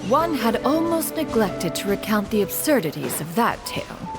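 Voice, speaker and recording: A woman's voice: mid-range voice, very animated, normal speed. An actress voicing a proud, caring, ancient female crane immortal in a video game, speaking as an elder master in formal, archaic, stately phrasing. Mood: dignified, recounting. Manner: formal, archaic, stately, refers to self as "one". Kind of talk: scripted video game voice acting. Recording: background music.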